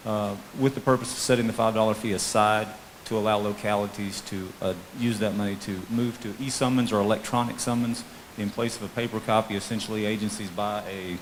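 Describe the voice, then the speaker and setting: A man's voice: fairly low pitch, steady tone, brisk, hardly any pauses. A man explaining a proposal to a town council as police chief, in an informal, plain presenting style. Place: town council meeting room. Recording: faint hiss on meeting microphone.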